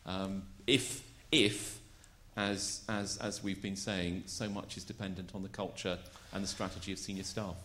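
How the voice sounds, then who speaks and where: A man's voice: low voice, fairly even intonation, normal speed, hardly any pauses, fairly bright tone. A man at a church conference voicing a candid, musing question. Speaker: conference delegate.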